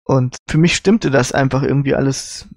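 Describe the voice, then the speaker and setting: A man's voice: medium pitch, moderate pace, no echo, studio quality. A man speaking casually as a panelist on a review podcast. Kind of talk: casual discussion.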